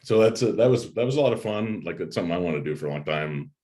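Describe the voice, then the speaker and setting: A man hosting a movie review chat in a casual, halting way, often repeating his words. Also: low voice, lively intonation, warm tone. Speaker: host of a movie review channel. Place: home room with a desk, on a video call.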